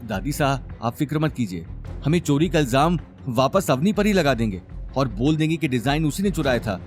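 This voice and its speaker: A man's voice: mid-range voice, very animated, brisk, faint music behind, natural voice. A man voicing a hot-headed young grandson with an aggressive manner in an audio drama. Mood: scheming. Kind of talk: audio drama narrator's character acting.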